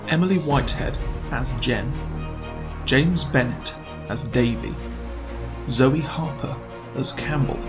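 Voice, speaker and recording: A man delivering scripted dramatic narration as an announcer, measured and solemn. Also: mid-range voice. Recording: music behind the voice. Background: atmospheric new-age music.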